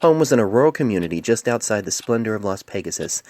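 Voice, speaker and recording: Male amateur narrator, low-pitched, reading prose fiction into a phone mic with a dry, close sound. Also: big pitch swings, quick pace, darkish tone, dry recording. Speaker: author narrating own story. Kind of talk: scripted fan fiction narration. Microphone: cell phone microphone.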